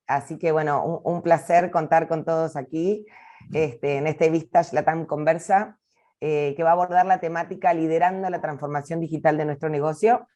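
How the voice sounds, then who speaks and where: A woman's voice: deep voice, fairly even intonation, normal speed, dark tone. A woman giving a formal welcome as host of an online event and introducing a guest speaker.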